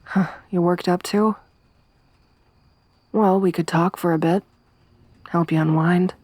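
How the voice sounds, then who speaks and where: A woman voicing a tough young woman survivor around thirty in an ASMR roleplay, soft-spoken with dry humor. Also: on the low side, fairly even intonation, slow, no echo. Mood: relaxed. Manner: hushed whispering when hiding.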